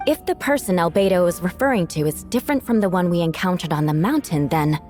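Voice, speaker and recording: A woman's voice: on the low side, animated, quick pace, darkish tone, natural voice. A woman voicing a level-headed witness in a fantasy video game, giving testimony in a careful, matter-of-fact way. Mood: measured. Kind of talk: dramatic video game voice acting. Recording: music behind the voice.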